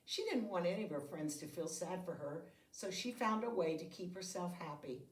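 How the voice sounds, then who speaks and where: A woman reading a children's picture book aloud with deliberate, expressive phrasing meant for young listeners. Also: fairly low pitch. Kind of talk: read-aloud storytelling. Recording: roomy echo, distant microphone.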